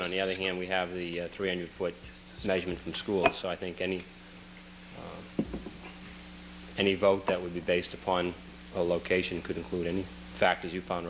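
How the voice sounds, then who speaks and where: Deep monotone guy advising the council, lots of ums, faint hum. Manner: hesitant, measured, many fillers. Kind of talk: formal advice at council meeting.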